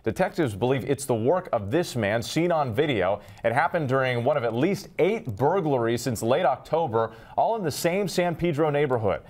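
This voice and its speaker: A man's voice: medium pitch, animated, normal speed, hardly any pauses, darkish tone. A man with an American accent reading scripted television news as an anchor.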